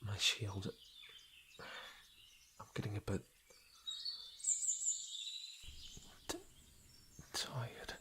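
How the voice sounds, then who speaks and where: A man plays a commanding fantasy lord with a fairly low voice and a very slow, halting delivery. He is a ruler who trusts his bodyguard, intimate and measured, in an audio roleplay with birdsong and forest sounds behind him. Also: steady tone. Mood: weary, resolute. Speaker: voice actor. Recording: noisy outdoor nature sound effects. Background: birdsong and forest ambience.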